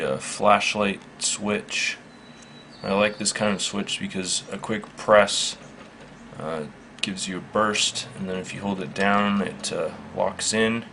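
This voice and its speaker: A man giving a casual, unscripted product demonstration in a matter-of-fact, halting, measured way. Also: deep voice, creaky, pushed and bright. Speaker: product reviewer. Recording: faint background noise.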